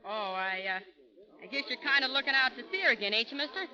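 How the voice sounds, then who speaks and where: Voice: sits high, expressive, quick pace, bright, forceful tone, exaggerated voice. A performer playing an eager, inquisitive small frontier boy with folksy slang in a 1930s radio drama. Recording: muffled vintage 1930s radio broadcast.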